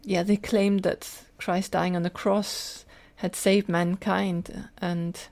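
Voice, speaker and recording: A woman's voice: lowish voice, flat delivery, takes it slowly, vocal fry, darkish tone. An older woman with a Scottish accent, telling personal stories in an earnest, detailed way as an interview guest. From Scotland. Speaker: podcast guest, teacher and lecturer.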